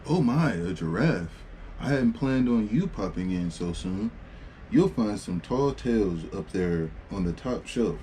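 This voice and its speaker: A man acts out a young storybook girl pretending to be a librarian. The delivery is polite, chatty and a little bossy, with a mellow tone. Background noise sits under the voice. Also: fairly low pitch, expressive, a little slow. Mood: gracious, excited. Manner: gracious, chatty, mildly fussy. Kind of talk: storybook read-aloud dialogue.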